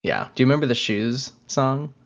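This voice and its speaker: A man's voice: medium pitch, animated, normal speed, creaky, lo-fi sound. A young man co-hosting a podcast, chatting casually and agreeably in loose, informal phrasing. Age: young adult. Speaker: podcast co-host. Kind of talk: casual chat.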